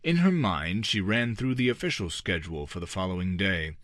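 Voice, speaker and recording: A man reading a fantasy novel as a dramatic audiobook narrator, with measured, deliberate phrasing and dramatic pauses. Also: low-pitched, animated, fairly bright tone, no room sound, clean studio sound.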